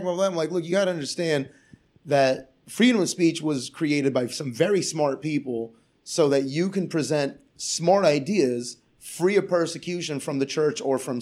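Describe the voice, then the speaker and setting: Male comic guest, profane sarcastic rant, slightly high voice. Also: moderate pace, darkish tone. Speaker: comedian guest. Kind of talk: casual podcast chat. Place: Portland area.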